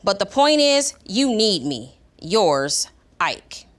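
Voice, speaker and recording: A woman's voice: mid-range voice, very animated, slow, voice pushed up. A woman reading a storybook aloud as a needy, indignant dog, in a dramatic, complaining manner. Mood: indignant pleading. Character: indignant, needy pet dog writing a pleading letter. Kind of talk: expressive storybook read-aloud.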